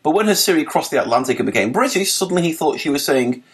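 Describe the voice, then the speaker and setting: A man narrating a written article for a podcast, reading with a lively, articulate delivery and dry wit. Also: a little high, quick pace.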